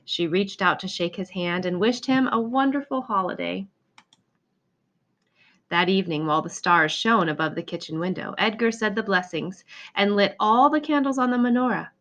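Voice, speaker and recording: A woman reading a children's picture book aloud in an expressive storytelling manner. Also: medium pitch, normal speed. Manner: expressive, acts out exclamations and dialogue. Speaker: storybook reader.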